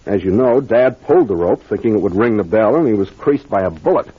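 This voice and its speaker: Confident detective captain, American, 1940s radio mystery style, animated pitch, muffled old recording. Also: male voice, husky. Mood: assured, explanatory. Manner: deliberate, authoritative, explanatory. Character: confident young male detective captain explaining a case. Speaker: actor playing the investigator.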